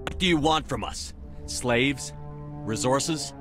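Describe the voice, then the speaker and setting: Male actor game commander, medium pitch, stern, terse, defiant, authoritative, clear musical score behind. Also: slow pace, over music, close to an everyday voice. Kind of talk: video game cinematic dialogue.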